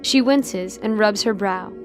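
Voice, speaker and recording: A woman's voice: medium pitch, unhurried, soft background music. A woman reading scripted narration for an audio drama.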